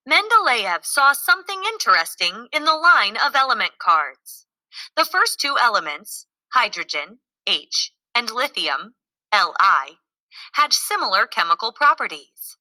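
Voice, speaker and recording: A woman reading a scripted educational narration aloud, clearly enunciated and explanatory in manner. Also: a little high, sharp tone, dry recording, low-quality recording.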